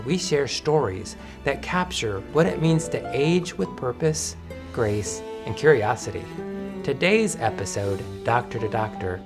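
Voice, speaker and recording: A man hosts a podcast in a smooth, announcer-like way, moving from a polished scripted opening to friendly questions. His pitch sits in a middle range, and soft guitar music plays quietly beneath him. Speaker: podcast host. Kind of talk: scripted introduction and interview.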